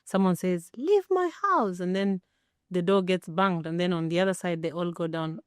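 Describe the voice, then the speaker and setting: A woman's voice: on the low side, lively intonation, normal speed, clean tone, no echo, clean studio sound. A middle-aged woman as a podcast guest, talking casually and reflectively, supportive and explaining things with simple examples.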